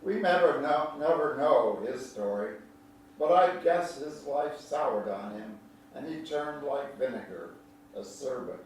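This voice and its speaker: A man's voice: mid-range voice, expressive, a little slow, a little mellow, close to an everyday voice. An actor playing a folksy older country man, a kindly, teasing storyteller with a homespun drawl, in a theatrical staged reading. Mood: wise, reflective. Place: large echoey indoor room at a staged reading. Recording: echoey hall, distant mic, faint noise.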